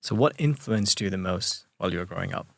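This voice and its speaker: A man's voice: sits low, lively intonation, fast talker, vocal fry, fairly bright tone, no echo, clean studio sound. A man hosting a podcast, reading a guest introduction and asking interview questions. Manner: reads guest intro, short backchannels. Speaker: podcast host.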